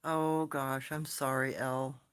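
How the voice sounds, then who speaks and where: A soft-spoken man telling stories and answering comments in a casual, rambling live stream, recorded on his phone. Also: mid-range voice, level tone, takes it slowly, clear, resonant tone.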